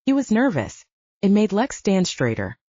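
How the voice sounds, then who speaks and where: Husky woman narrating fiction, lively pitch. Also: moderate pace, no room sound, highs cut off. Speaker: audiobook narrator.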